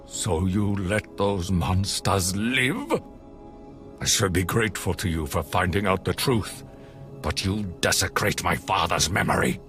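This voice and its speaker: Bitter game villager guy, really deep, intense n accusing, music behind him. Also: expressive, measured pace, fairly bright tone. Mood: bitter grief. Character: bitter grieving villager son, earnest and accusing. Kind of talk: video game voice acting.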